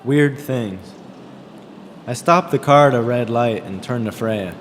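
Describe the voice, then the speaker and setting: A man reading a scripted first-person story in a deadpan, terse way, as a wry, observant driver out with his girlfriend. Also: mid-range voice, unhurried, natural voice. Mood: wry, detached. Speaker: author reading excerpts from his own novel. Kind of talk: scripted first-person narration with dialogue over music. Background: music.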